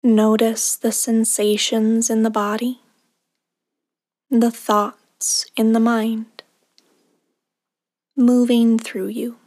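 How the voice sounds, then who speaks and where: Woman meditation guide, very slow pacing, flat intonation, soothing, soft breathy phrase endings, long pauses. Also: a little high, dry recording, studio quality.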